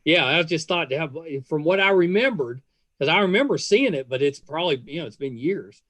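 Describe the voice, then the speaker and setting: Casting: chatty male interviewer in his fifties, self-deprecating storyteller, very animated pitch, close dry sound. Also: fairly quick, no room sound. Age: 50s. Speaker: podcast host. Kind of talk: casual interview chat with anecdotes.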